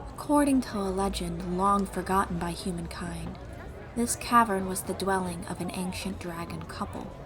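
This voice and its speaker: A woman playing an elven ice sorceress, a gracious, formal and gently proud guide speaking unhurriedly to the listener in an audio roleplay. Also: fairly low pitch, normal speed, crowd noise, close to an everyday voice. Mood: proud, welcoming. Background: music.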